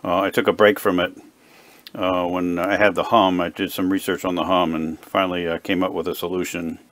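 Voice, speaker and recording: A man with a deep voice talks through a hobby repair at his workbench. His delivery is unscripted and halting, with hesitations as he works things out aloud. He sounds like a hobbyist demonstrating hands-on work. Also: level tone, fairly bright tone, dry recording. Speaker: hobbyist radio repairer presenting his own video. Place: indoor workbench.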